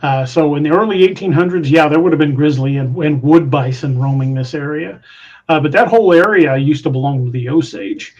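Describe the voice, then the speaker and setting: Give me a man with a twang and a slightly high voice, hosting a podcast and rambling through listener comments with lots of restarts. Dull, lo-fi recording. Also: brisk, a little mellow. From Missouri, USA. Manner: rambling, hesitant with many restarts. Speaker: podcast host. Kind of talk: casual live-stream chat reading comments.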